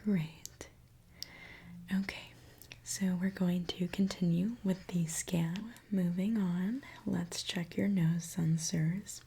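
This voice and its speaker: A woman doing an ASMR roleplay as a gentle mechanic, speaking in a soft, reassuring, attentive way. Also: on the low side, fairly even intonation, slow, vocal fry, a little hiss or hum. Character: gentle mechanic repairing a robot client. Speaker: ASMR creator.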